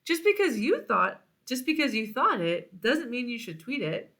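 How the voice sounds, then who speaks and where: A woman's voice: a little high, sing-song, normal speed, pushed and bright, voice pushed up, full character voice. A woman voicing a blunt, easily bored and indignant female vulture in a storybook.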